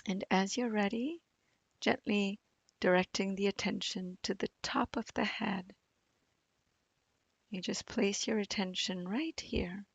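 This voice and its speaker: A woman guides a meditation very slowly, in a fairly low voice. Her delivery is gentle and soothing, with long pauses and a gravelly fry at the edges. The sound is close and dry. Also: no room sound, dull audio. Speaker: meditation teacher. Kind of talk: guided body scan meditation.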